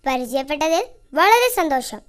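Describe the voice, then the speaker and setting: A performer voicing a small, cheeky cartoon mouse with a childlike, squeaky voice, crafty and friendly, for children. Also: big pitch swings, normal speed, a bit bright. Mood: friendly, scheming. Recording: music behind the voice.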